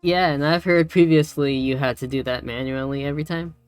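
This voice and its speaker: A woman's voice: low voice, fairly even intonation, a little fast, darkish tone, smooth. A woman talks casually as a tutorial co-host who is still learning. Her delivery is easygoing and a little hesitant, and faint music plays behind her. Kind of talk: casual chat, tutorial recap.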